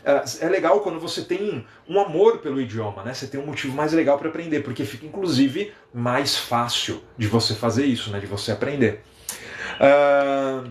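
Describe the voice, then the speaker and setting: A young Brazilian man with a Brazilian Portuguese accent, an English teacher giving a lively live-stream lesson and chatting with viewers. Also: mid-range voice, normal speed, roomy sound. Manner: lively, animated, reads out viewer comments.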